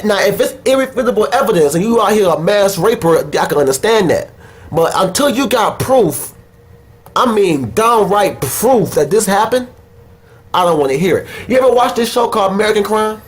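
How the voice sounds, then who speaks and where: A young man with a fairly high voice hosts a loose, uncensored chat. He is blunt and opinionated, curses often, and sounds a bit stuffed up from a head cold. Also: lively intonation, normal speed. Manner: blunt, opinionated, swears freely. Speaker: podcast host.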